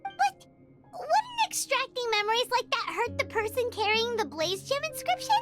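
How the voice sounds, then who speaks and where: A woman voicing a tiny, excitable floating female companion creature who fires off shrill, startled questions in a video game. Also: moderate pace. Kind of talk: video game dub acting. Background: game music.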